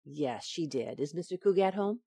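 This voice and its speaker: An actress playing a feisty, playful housewife in a 1940s-style radio sitcom, with wry, teasing delivery. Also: female voice, deep voice, expressive, moderate pace, creaky, warm tone, breathy edge, no room sound, full character voice. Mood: brisk, cheerful.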